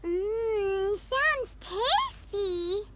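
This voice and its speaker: A tiny childlike fairy sidekick from a game, extremely high and squeaky. She is eager and drawn-out, with lively swoops in pitch. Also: full character voice. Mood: eager, cheerful. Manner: squeaky, eager, speaks of herself in third person. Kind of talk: English video game voice acting.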